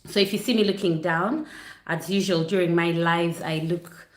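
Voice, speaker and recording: A woman from Uganda talks casually to her livestream viewers from her living room. Her voice sits fairly low and bounces around an echoey room. She sounds chatty and apologetic, easily sidetracked. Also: steady tone, moderate pace. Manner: chatty, apologetic, distracted by lighting. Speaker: vlogger hosting a livestream. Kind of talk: casual chat to a live audience. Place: home living room near a Christmas tree. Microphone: computer setup for a livestream.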